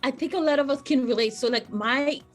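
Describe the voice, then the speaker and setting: A woman's voice: high voice, brisk, soft background music. A woman hosting an interview, enthusiastic and effusive, laughing often as she asks questions. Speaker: interviewer, host. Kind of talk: casual interview chat.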